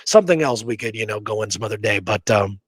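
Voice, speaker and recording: A man's voice: fairly low pitch, lively intonation, fast talker, husky, dry recording. An American man from the Pacific Northwest co-hosting a casual history discussion, informal and emphatic, repeating words for stress. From Pacific Northwest, United States. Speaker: show co-host and history researcher.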